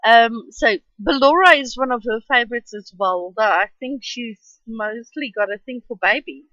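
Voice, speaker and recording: A woman's voice: a little high, normal speed, bright, forceful tone, dry recording, dull audio. A woman with an Afrikaans accent, whose first language is Afrikaans, chats and rambles with many ums while narrating her drawing at home. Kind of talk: casual voice-over for a speed drawing.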